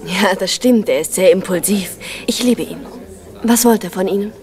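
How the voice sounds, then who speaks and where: A woman acts a polite, candid young woman in vintage radio drama style. Her voice sits at a middle pitch, earnest and soft-mannered. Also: normal speed, natural voice. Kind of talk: 1970s German radio drama acting.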